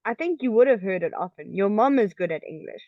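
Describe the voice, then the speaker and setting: A woman's voice: medium pitch, lively intonation, fairly quick, no room sound. Patient woman tutor, dry dull sound. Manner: patient, checking understanding with frequent okays. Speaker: English tutor. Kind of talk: online English lesson.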